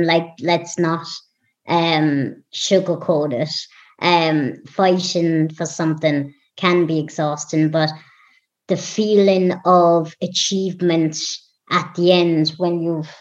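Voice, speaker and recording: A young woman from Ireland, a guest in an interview, talking in an unhurried, warm way with frequent ums. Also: low voice, highs cut off. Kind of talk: casual interview conversation.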